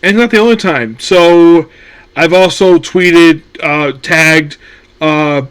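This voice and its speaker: A man's voice: on the high side, takes it slowly, fairly bright tone, clear, resonant tone. A man hosting a casual solo anime news talk, rambling with many fillers and restarts.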